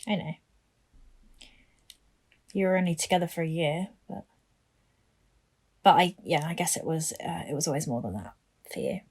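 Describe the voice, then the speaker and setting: A woman playing a composed, dry-humoured police detective in a naturalistic audio drama, with a measured, professional manner. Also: low voice, expressive, darkish tone, husky, exaggerated voice. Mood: subdued, wistful. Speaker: actor in an audio play.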